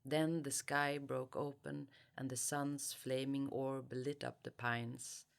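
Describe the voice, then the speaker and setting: A woman's voice: extremely low pitch, level tone, vocal fry. A woman with a Swedish accent, an author who speaks thoughtfully as an interview guest or reading from her own writing. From Sweden. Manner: halting, searching for words. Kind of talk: thoughtful interview talk and reading aloud from a novel.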